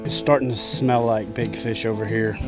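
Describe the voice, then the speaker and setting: A man's voice: fairly low pitch, steady tone, moderate pace. A middle-aged man hosting a fishing show, talking casually and jokingly from his kayak on a wooded lake. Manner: joking, easygoing. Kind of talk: casual on-camera talk from a kayak. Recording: music bed underneath.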